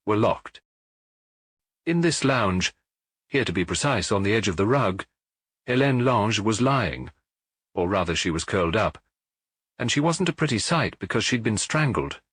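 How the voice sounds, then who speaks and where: A man reading as a husky, matter-of-fact police officer. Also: on the low side, lively intonation, a bit bright, no echo. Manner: measured, matter-of-fact reporting. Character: middle-aged male police officer giving a report. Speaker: audiobook reader. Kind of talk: audiobook dialogue reading.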